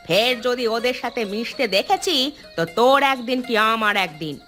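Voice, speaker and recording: A vain, sharp-tongued village wife from a fairy-tale cartoon, acted by a woman. Her voice is high and swings widely as she scolds and laments theatrically, with music underneath. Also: expressive, moderate pace. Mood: aggrieved scolding.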